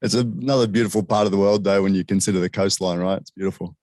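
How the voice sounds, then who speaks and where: A man's voice: low-pitched, little pitch movement, fast, darkish tone, no echo. A man from Sydney with an Australian accent, hosting a casual interview and often tacking on "man". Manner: laid-back, says man and bro a lot. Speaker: interview host.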